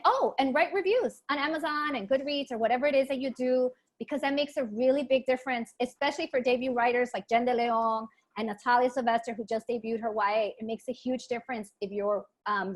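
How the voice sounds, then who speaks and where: A woman with a fairly high voice moderates a book club event. She talks in an informal, earnest way, urging listeners along as she hosts. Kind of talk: casual book club discussion. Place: online video event.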